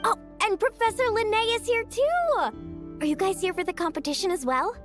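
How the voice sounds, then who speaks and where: Bubbly, high-pitched young sporty girl. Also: normal speed. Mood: excited. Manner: bubbly, casual, chatty. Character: upbeat, friendly, easygoing young sporty island girl. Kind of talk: animated video game voice acting. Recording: music under the voice.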